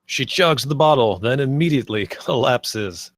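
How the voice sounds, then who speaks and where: A man's voice: mid-range voice, expressive, moderate pace. A man narrating the stage directions and scene headings of a screenplay at a table read.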